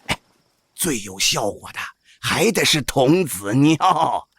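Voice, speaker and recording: An actor playing an old village opera-troupe boss, folksy, worldly and cautious, with a raspy, sighing, drawling delivery in an audio drama. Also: male voice, medium pitch, very animated, slow, fairly bright tone, no room sound, full character voice. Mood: folksy, puzzled. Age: older. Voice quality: raspy, creaky.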